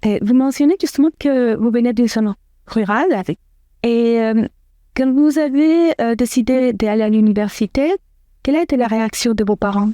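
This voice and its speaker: A woman hosting a podcast interview, asking earnest questions with some filler pauses. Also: a little high, warm tone, no echo, clean studio sound. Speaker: podcast host.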